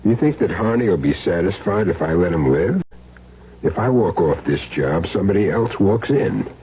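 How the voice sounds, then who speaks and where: An actor playing a tough, world-weary hired gun in a radio drama, blunt and streetwise in a measured way. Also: male voice, low voice, lively intonation, husky, exaggerated voice. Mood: wry, firm. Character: tough, world-weary male hired hitman with a soft spot. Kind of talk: 1970s radio drama acting. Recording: muffled old radio recording.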